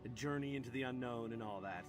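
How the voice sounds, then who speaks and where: An actor playing a wry, roguish heroic knight in a fantasy game, with a conversational delivery. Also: male voice, medium pitch, quick pace, over music, lightly acted. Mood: wry, troubled. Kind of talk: scripted game dialogue.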